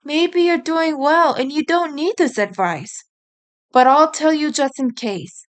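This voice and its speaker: A woman voicing a caring female rabbit's farewell letter in an audio story, earnest, gentle and apologetic. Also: sits high, a little slow, pitched above the performer's own voice. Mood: tender, earnest.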